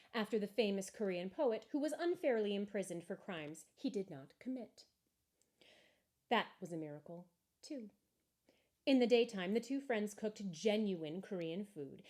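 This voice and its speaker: A woman narrating a children's story expressively, with measured, deliberate emphasis. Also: mid-range voice, darkish tone. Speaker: story reader.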